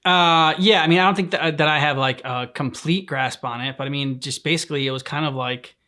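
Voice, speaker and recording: A man's voice: on the high side, a little fast, pushed and bright. A man co-hosting a reaction show, giving casual commentary in a hesitant, meandering, conversational way. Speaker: co-host of a reaction channel. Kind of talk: casual chat, commentary on game cutscenes.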